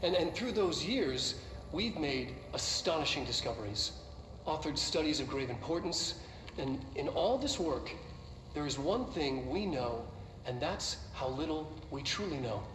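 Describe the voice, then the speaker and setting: An actor playing a quirky, self-important middle-aged head of research presenting an orientation video in a game, theatrical and halting in an earnest way. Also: male voice, on the high side, lively intonation, fairly bright tone. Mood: proud, awkward. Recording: echoey, noisy internal video, distant mic.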